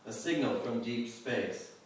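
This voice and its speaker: A man giving a live poetry reading in a measured, deliberate way, pausing at the ends of lines. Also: mid-range voice. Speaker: poet. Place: indoor reading venue with a live audience. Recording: very echoey room, distant mic, faint noise.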